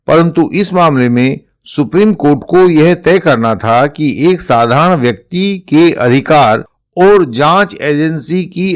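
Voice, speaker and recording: A man with an Indian accent giving an explanatory legal lecture in a measured, formal manner. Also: a little high. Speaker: presenter explaining court judgments.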